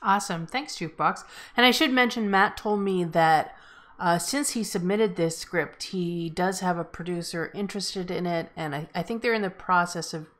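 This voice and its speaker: A woman's voice: lowish voice, normal speed, a bit bright. A woman hosting a group feedback session, speaking casually as she moderates the discussion.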